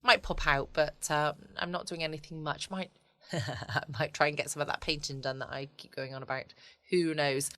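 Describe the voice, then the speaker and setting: A southern English woman chats casually on a bedtime podcast. Her voice is fairly low with a crisp, bright edge, recorded close and dry. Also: fairly even intonation, moderate pace, no room sound. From United Kingdom. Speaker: podcast host.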